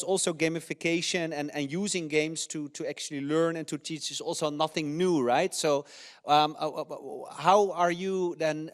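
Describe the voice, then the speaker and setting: A man with a Dutch accent moderating an online panel, halting, with many restarts and fillers, over a video call. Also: fairly high pitch, smooth.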